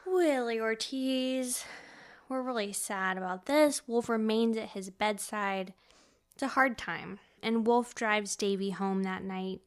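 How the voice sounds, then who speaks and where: A woman podcast host chatting casually as she retells a novel in an informal, conversational way. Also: medium pitch. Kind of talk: casual chat recapping a novel.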